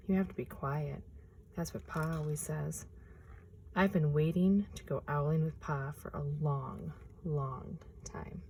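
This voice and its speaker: Woman with a mellow voice reading aloud. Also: low voice, slight background noise, rough recording. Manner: hushed, expressive, drops to whispers. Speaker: nature preserve storyteller. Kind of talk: reading a picture book aloud. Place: outdoors in a forest preserve.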